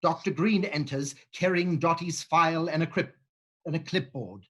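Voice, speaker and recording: A man reading a play's stage directions aloud in a plain, even way. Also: a little high, normal speed.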